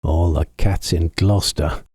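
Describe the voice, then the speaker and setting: Sad hungry storybook cat, done by a man, slow and plaintive, husky. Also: sits low, fairly even intonation, warm tone, no echo, clean studio sound. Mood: sulky. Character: hungry male cat, sulky and sighing.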